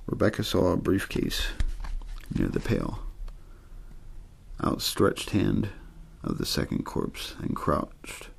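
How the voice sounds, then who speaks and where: Low gravelly man reading a quiet bedtime story. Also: huge pitch swings, slow. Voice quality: gravelly, husky. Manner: low-key, untheatrical narration. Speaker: audiobook-style story reader. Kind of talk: ASMR bedtime reading of a horror novel.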